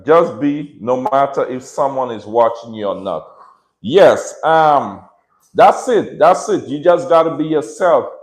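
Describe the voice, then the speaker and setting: An earnest man with a Caribbean accent takes his time, speaking unhurried and reflective on a home livestream. His voice sits at an ordinary middle pitch and rings around a roomy, echoing space. He circles back on his own phrases and keeps asking listeners "right?". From Dominica, raised in Saint Martin. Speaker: livestream host and dog breeder. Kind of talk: casual reflective livestream talk. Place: room at home with dogs. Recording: echoey room.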